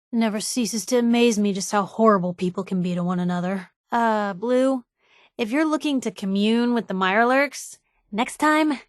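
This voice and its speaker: A woman's voice: medium pitch, no echo, clean studio sound, close to an everyday voice. An actress playing a sardonic, plucky young woman reporter in a video game, with wry, conversational quips. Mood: somber. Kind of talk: video game companion voice acting.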